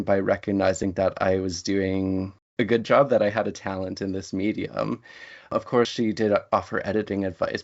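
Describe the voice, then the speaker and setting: A man speaking as a guest writer in an interview, with an articulate, measured and fairly formal way of talking. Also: fairly low pitch, darkish tone, highs cut off. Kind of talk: interview conversation.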